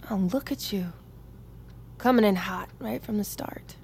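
Sarcastic young army prisoner, noisy room. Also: female voice, mid-range voice, a little slow, creaky, a little mellow. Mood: sarcastic, flippant. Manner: sarcastic, flippant, joking. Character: wisecracking young female army private in custody. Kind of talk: naturalistic audio fiction acting.

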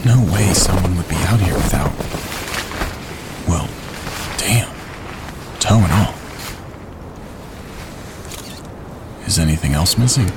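A man playing a steady, laconic wildlife photographer on a winter camping trip, understated and calm, in a naturalistic audio drama. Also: deep voice, unhurried, long pauses, loud music behind the voice. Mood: concerned. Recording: clear outdoor ambience noise behind.

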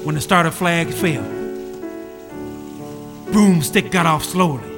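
A man's voice: a little high, expressive, slow. A male storyteller narrating with a rhythmic, building cadence. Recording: reverberant space with music behind.

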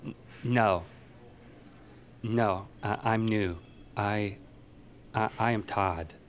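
An actor playing a timid, naive and eager new emergency dispatcher who stammers hesitantly in an audio drama. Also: male voice, fairly low pitch, very slow, slight background noise. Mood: nervous, apologetic. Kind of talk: fiction podcast acting.

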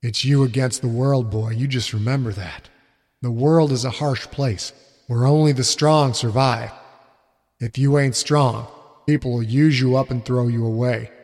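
A man voicing a harsh, abusive father who lectures in a gruff, contemptuous way. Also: medium pitch, fairly even intonation, measured pace, darkish tone, in a large hall.

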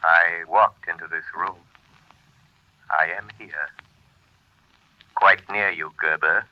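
An actor playing a calm, commanding invisible avenger in measured, grave 1930s radio drama style, through a telephone-like filter. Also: male voice, deep voice. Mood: ominous, assured. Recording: muffled vintage 1930s radio recording.

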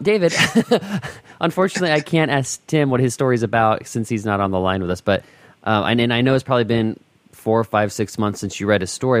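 A man with a very low voice talks as a podcast host in a casual interview manner. The sound is dry and close, with little room around it. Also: no echo.

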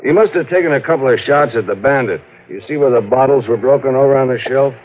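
An American actor playing a young, cooperative police sergeant in a 1950s radio drama, plain-spoken and colloquial. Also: male voice, mid-range voice, quick pace, lightly acted. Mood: businesslike. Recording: dull 1950s radio recording.

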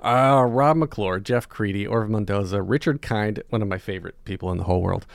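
A man's voice: on the low side, brisk, creaky, no room sound. A man hosting a casual chat show, talking informally with his guest. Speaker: podcast host.

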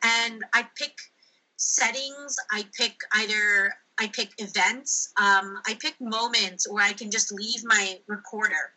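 A woman with a strikingly bright, ringing tone answers interview questions. She talks with lively energy, breaking into laughs and little acted-out quotes. Her pitch sits in a mid range for a woman. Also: slow, low-quality recording. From United States. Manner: animated, laughs, acts out quoted speech. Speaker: linguistic anthropologist guest.

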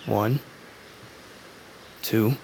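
A man playing a serene, philosophical young blind brother in a fantasy audio drama, with lyrical, earnest, measured phrasing. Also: fairly low pitch, fairly even intonation, creaky, background noise, exaggerated voice. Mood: calm guidance.

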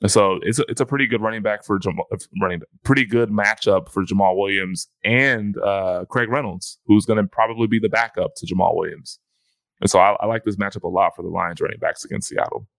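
A man's voice: on the low side, a little fast, vocal fry, fairly bright tone, dry recording. A man co-hosting a fantasy football podcast in casual chat, often restarting his phrases.

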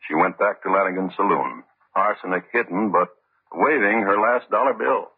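A man narrating a true-crime radio drama as a grave, deliberate, literary storyteller. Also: low-pitched, breathy edge. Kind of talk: scripted old-time radio narration. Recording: muffled old radio recording. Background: music.

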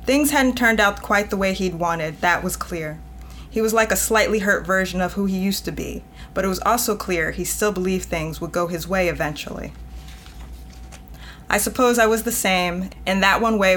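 A woman's voice: mid-range voice, a little hiss or hum. A woman editor on a podcast, talking conversationally and reading a passage aloud. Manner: conversational, laughs often, expressive. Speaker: editor and guest.

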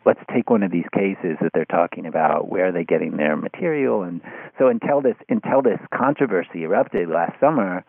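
Phone-like, low-voiced man in discussion. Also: animated, gravelly, breathy edge, no echo. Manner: thoughtful, halting, often restarts phrases. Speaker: interview guest, writer of an article on podcasts. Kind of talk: conversational interview discussion. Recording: phone-like narrow-band sound.

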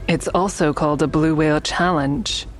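A low-pitched woman , performed by an actress, in a naturalistic audio drama. She is earnest but guarded, choosing her words with measured care and keeping some back. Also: fairly even intonation, slight background noise, close to an everyday voice. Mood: serious, explaining. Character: guarded, earnest woman negotiating with police, also narrating.

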